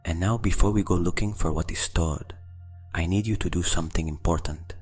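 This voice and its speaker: A man with a very low, husky voice leads a guided meditation. He reads slowly and deliberately, leaving long pauses between short phrases. Music plays behind him. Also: darkish tone. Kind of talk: scripted guided meditation narration.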